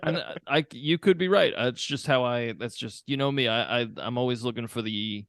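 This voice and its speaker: A man's voice: medium pitch, a little fast, a bit bright, dry recording, studio quality. A man in a casual podcast chat, hesitant and self-mocking, full of fillers.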